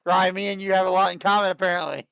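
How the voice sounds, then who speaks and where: A man in his late thirties hosting a livestream, chatting casually and excitedly, and often repeating himself. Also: high voice, very fast, gravelly, smooth, no echo. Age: 30s. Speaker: livestream host. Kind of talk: casual chat.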